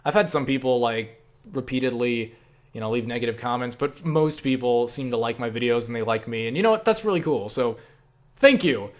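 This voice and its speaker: Young guy doing a casual q&a vlog, medium pitched voice, rambles a lot, self deprecating, swears now and then. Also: brisk. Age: young adult. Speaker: online video creator answering viewer questions.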